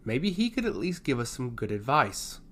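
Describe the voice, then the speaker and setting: A man voicing a stoic woman advisor, composed, deferential and gently persuasive, in a fantasy audio story. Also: medium pitch, big pitch swings, normal speed, slight background noise. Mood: calm suggestion. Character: calm, stoic female advisor to a lord.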